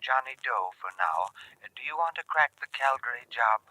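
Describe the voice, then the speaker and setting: A man's voice: mid-range voice, lively intonation, normal speed, bright, forceful tone, rough recording. An actor playing a cagey anonymous male informant over a telephone in a 1950s radio crime drama, secretive and insistent. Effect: telephone filter. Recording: old radio recording, telephone filter.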